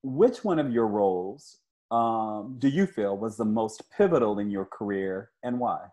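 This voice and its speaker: A man's voice: lowish voice, measured pace, dark tone. An older man hosting a casual interview over a video call. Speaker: interviewer, comics creator. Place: indoor living room, video call.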